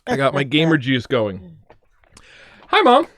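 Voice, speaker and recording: A man hosting a casual livestream, talking and explaining things in a rambling, animated way. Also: mid-range voice, unhurried, no echo, clean studio sound. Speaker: livestream host. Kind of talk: casual livestream chat with his mother.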